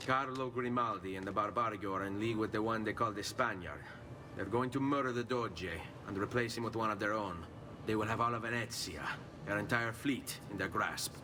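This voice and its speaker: A man's voice: on the low side, brisk, natural voice. An actor playing a young nobleman assassin in a video game, speaking in an earnest, determined and commanding way. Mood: urgent. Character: young Italian nobleman assassin, resolute, urgent. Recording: noisy video game audio, from a distance.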